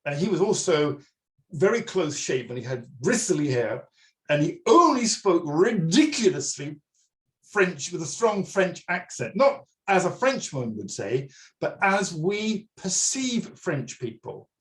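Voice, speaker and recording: A man's voice: fairly high pitch, measured pace. A man in his sixties presenting a workshop over a video call, telling stories and asking his audience questions. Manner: animated, storytelling, asks the audience directly. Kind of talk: online workshop talk.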